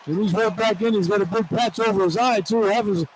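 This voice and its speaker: American male color analyst, fairly high-pitched, blunt and partisan, live radio with arena noise. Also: fairly quick, low-quality recording. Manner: blunt, partisan, indignant at officiating. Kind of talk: informal live basketball commentary. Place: courtside in a basketball arena. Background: crowd and arena noise.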